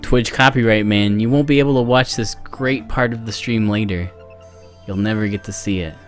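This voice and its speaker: A man's voice: lowish voice, moderate pace. A young man chatting casually on a livestream, rambling and self-mocking in a distracted way. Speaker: video game livestreamer. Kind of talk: casual livestream chat to viewers. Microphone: microphone on a boom arm. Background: music.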